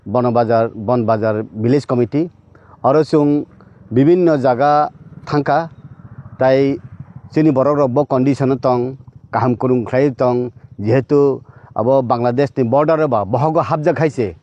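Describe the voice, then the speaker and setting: A man giving halting commentary on local affairs, repeating phrases as he goes. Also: medium pitch, dark tone. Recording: dull, lo-fi audio.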